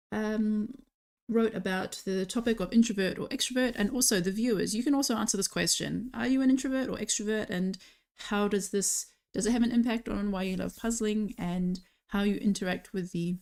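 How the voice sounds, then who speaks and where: A woman's voice: medium pitch, moderate pace, a little mellow. Chatty woman. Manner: chatty, reads viewer comments aloud. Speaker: commentator co-host. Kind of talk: live-stream event commentary.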